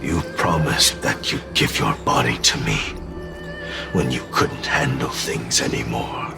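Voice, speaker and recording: Abyssal, gravelly ancient sword spirit. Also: male voice, heavily acted. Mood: accusing. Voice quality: very deep, gravelly. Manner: solemn, slow, deliberate. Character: ancient demon bound in a sword, weary, sorrowful. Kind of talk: dramatic game dubbing. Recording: music under the voice. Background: music.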